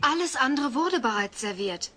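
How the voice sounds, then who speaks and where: A polite teenage girl of a suburban family. Her voice sits high, in dubbed sitcom style. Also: animated, normal speed, sharp tone, full character voice.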